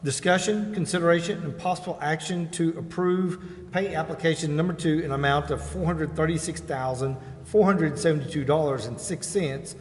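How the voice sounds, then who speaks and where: A man's voice: a little high, moderate pace, slight background noise. An American man chairing a formal meeting, reading agenda items and procedure in an echoing chamber. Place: indoor council chamber.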